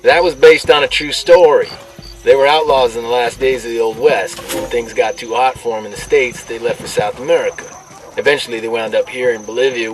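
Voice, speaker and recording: A man's voice: on the low side, a little hiss or hum, natural voice. Man playing distant-sounding wisecracking soldier. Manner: casual, wisecracking. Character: irreverent, talkative male soldier squadmate. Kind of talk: video game acting. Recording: distant-sounding, music behind.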